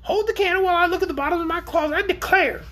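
Whiny shrill orc guy from a kids book, way high up, scornful, always complaining. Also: racing, fairly bright tone. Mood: indignant. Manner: plaintive, complaining. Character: shrill, scornful, grumbling male orc creature, self-pitying. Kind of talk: acted storybook character.

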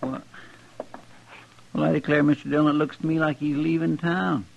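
An actor playing a simple, good-hearted sidekick to a western marshal in a 1950s radio drama, with a folksy country drawl. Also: male voice, fairly high pitch, level tone, moderate pace, gravelly. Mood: earnest. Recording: dull, faintly noisy old radio recording.

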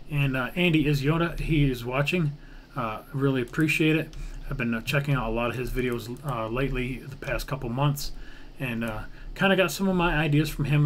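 Rambling man over noticeable background noise. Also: mid-range voice. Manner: rambling, half-muttering counts, mild swearing. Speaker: gaming video creator narrating his own build series. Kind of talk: casual let's build commentary, thinking aloud. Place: indoors at a computer.

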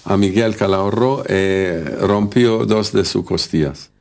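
A man from Lebanon, not a native speaker, haltingly searching for words as he recalls events in an interview. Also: fairly low pitch, vocal fry, a little mellow. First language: not Spanish. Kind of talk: spoken interview recollection.

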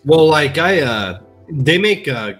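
A man's voice: fairly high pitch, sing-song, slow, fairly bright tone, faint music behind. A young man hosting a casual livestream from an indoor room, chatting and reading viewer comments aloud on a headset mic. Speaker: livestream host. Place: indoor room, gaming chair.

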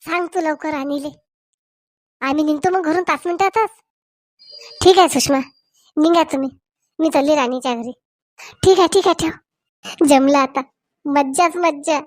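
A woman's voice: high voice, moderate pace, pauses often, no room sound, clean studio sound, lightly acted. A woman voicing chatty, meddling village women in a Marathi comedy cartoon, with a rural Varhadi accent and colloquial, exclamatory talk. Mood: excited. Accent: rural Maharashtra, Varhadi Marathi.

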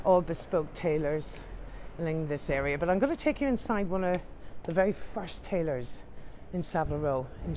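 A woman strolls the streets as a tour guide, upbeat and chatty. Her voice sits a little low, picked up on a handheld camera. Faint traffic hums in the background. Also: darkish tone. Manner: chatty and upbeat, addresses viewers as ladies and gents. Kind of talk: walking tour narration to camera. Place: outdoors on city streets.

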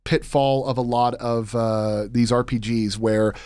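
Male podcast guest, thirties, conversational, medium-pitched, recorded dry in a studio. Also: steady tone, a little slow, a little mellow, smooth, no room sound. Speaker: podcast guest, voice actor and game master. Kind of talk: casual interview chat. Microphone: close studio mic on boom arm, headset monitoring.

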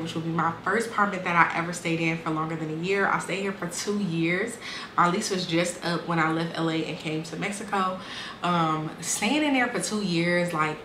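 Woman, 20s, candid casual storytelling, lower pitch, echoey room with faint ambience. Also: pushed and bright, a little hiss or hum. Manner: candid, conversational, frequent fillers and restarts. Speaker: vlogger telling her life story.

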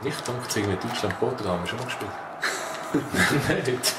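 Lively Swiss German gamer, echoey and distant. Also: male voice, mid-range voice, fairly quick. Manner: joking, greets viewers, lively. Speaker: let's play host. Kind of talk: casual gaming banter. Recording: echoey room, distant mic, noisy.

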